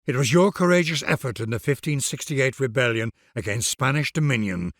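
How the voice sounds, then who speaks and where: A man's voice: medium pitch, lively intonation, a little fast, a bit bright, no echo, studio quality. A man reading scripted grand historical narration for a video game in a solemn, formal, stately manner.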